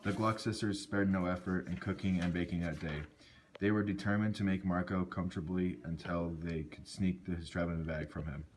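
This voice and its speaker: A man's voice: sits low, fairly even intonation, a little fast, slight background noise. A man narrating a scripted folk tale, reading aloud and occasionally stumbling over words.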